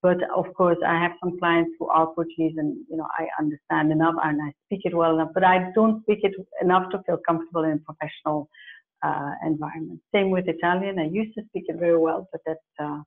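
A woman's voice: low-pitched, steady tone, quick pace. A woman in her forties whose first language is Dutch, a career coach answering questions thoughtfully on a video call from her home office, with many fillers and restarts. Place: home office with plants and pictures. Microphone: earphone mic on a video call. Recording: phone-like narrow sound.

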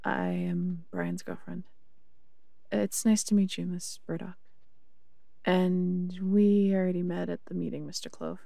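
A woman playing a serious, businesslike police detective in an audio drama, with a creaky, husky voice. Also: on the low side, steady tone, a little slow, mellow, dry recording, studio quality.

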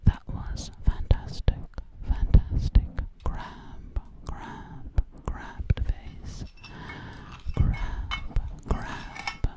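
A woman playing a sly, boastful witch in an ASMR roleplay, speaking breathily and close up, repeating words hypnotically. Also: drawn-out words. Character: sly, boastful female witch who takes people as coats. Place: small indoor room at a table. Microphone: close to the mic.

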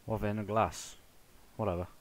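A man's voice: lowish voice, steady tone, normal speed. A young British man giving casual, sweary gameplay commentary in an offhand way. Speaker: let's play commentator.

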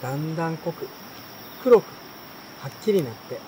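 A man's voice: fairly high pitch, expressive, dark tone, low-quality recording. Man reading a bedtime story outdoors. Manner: unhurried, with pauses between short phrases. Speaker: reader. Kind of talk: relaxed read-aloud of a children's bedtime story. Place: outdoors at night by a campfire at a campsite. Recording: clear insect and outdoor night noise. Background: insects, stream, campfire.

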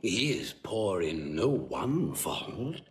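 An actor playing an old, grudging Roman magistrate, terse and pompous, in classical Shakespearean style. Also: male voice, low-pitched, sing-song, slow pace, slight background noise, heavily acted. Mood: disdainful.

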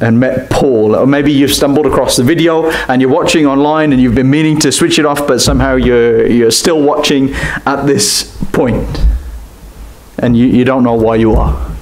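A young man preaching a sermon at a lectern, animated and gesturing, dropping to near-whispers at times. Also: medium pitch. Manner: animated, gesturing preaching with sharp drops to quiet. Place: church hall at a lectern. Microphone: lectern mic from a distance. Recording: echoey church room.

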